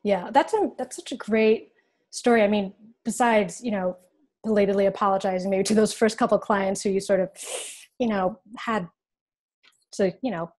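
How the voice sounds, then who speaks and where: A woman's voice: mid-range voice, fairly even intonation, normal speed, a little mellow. Young woman interviewing over video call. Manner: encouraging, asks questions with short backchannels. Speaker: podcast co-host. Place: home room, indoors.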